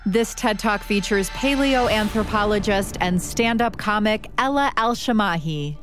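A woman's voice: medium pitch, measured pace, fairly bright tone. A woman reading a scripted podcast introduction as an announcer. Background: music.

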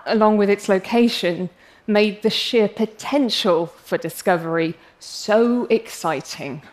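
Passionate English woman giving a talk. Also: a little high. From England. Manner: passionate, self-deprecating humor. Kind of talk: prepared public talk.

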